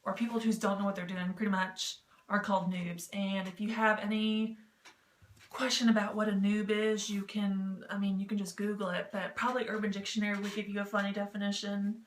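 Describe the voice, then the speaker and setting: A woman's voice: mid-range voice, level tone, a little fast. A woman with a mid-range voice hosts a casual explainer vlog. She rambles informally, with jokey, self-deprecating asides. The recording is echoey, with noise behind her. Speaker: vlog host giving tips on written role-playing. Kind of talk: casual, chatty explainer to camera. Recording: roomy echo with clear background noise.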